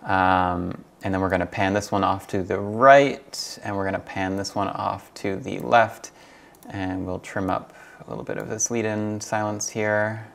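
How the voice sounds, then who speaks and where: A man's voice: fairly low pitch, fairly even intonation, unhurried, gravelly. A young man giving a casual hands-on tutorial, thinking aloud in a slightly hesitant way. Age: young adult. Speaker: hobbyist host demonstrating tape transfer. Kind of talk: casual tutorial vlog talk. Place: small furnished rooms at home, indoors.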